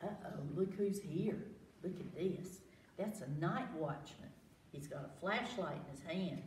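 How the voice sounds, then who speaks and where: A woman reading a picture book aloud to children, expressive, pausing to ask questions and exclaim. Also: fairly low pitch. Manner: animated, asks listeners questions, exclaims. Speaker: library storytime reader. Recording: roomy, distant mic, faint background noise.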